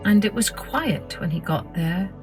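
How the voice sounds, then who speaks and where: A woman narrating a scripted children's story in a measured, expressive storytelling manner. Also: medium pitch, faint music behind, poor audio. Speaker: storytelling podcast host.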